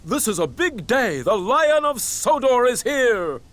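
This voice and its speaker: A man's voice: very high voice, big pitch swings, a little slow, fairly bright tone, full character voice. A man voicing a pompous, stern middle-aged railway boss in a children's cartoon, formal and authoritative. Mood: proud. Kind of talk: children's cartoon character acting.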